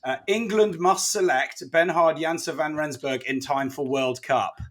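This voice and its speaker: Higher-voiced man asking measured questions. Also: a bit bright. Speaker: podcast co-host. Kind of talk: interview questions.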